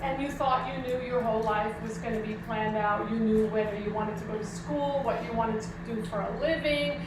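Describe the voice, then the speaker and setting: A woman's voice: a little high, fairly even intonation, brisk. A woman from the Netherlands gives an informal, reflective spiritual sermon as a pastor, talking conversationally to her congregation. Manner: conversational, reflective, addresses listeners with questions. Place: church service hall, indoors. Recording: echoey room, distant mic, clearly noisy.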